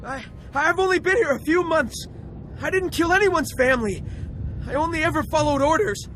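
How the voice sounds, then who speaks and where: An actor playing a cowardly, anxious rebel soldier in a video game, pleading to survive. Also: male voice, very high voice, animated, normal speed, a bit bright, faint music behind, rough recording. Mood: terrified pleading.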